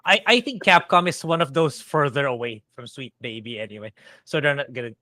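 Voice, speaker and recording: Man, bright forward voice, dry close mic, opinionated, stammers into points. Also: medium pitch, fairly quick, no echo. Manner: opinionated, hesitant starts. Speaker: podcast guest. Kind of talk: casual podcast chat.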